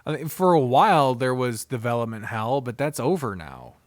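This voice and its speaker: A man's voice: medium pitch, expressive, moderate pace, no echo, clean studio sound. A man giving a casual, unscripted video review as a streamer, rambling candidly and swearing freely. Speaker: visual novel streamer and reviewer.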